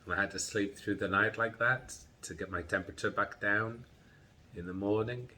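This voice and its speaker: A middle-aged man with a congested head and groggy chest, slowly and haltingly describing his illness in a personal vlog. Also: lowish voice, bright, forceful tone, a little hiss or hum. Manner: slow, halting, tired recounting. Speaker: vlogger.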